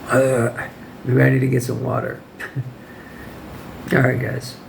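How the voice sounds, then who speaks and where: A hoarse man with a deeply gravelly, fry-heavy voice talks through classroom tips in a loose vlog style. He sounds firm and direct but informal, and his voice sounds strained and worn as it fades. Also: monotone, takes it slowly, a little hiss or hum, low-quality recording. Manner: informal, firm, checks in with "okay?". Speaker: English teacher. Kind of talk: casual advice vlog.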